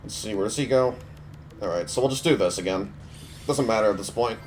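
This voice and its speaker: Man, low voice, stuffy nose, casual thinking-aloud game commentary. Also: fairly quick, slight background noise. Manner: relaxed, offhand, thinking aloud. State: stuffy from a cold. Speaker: mobile game player.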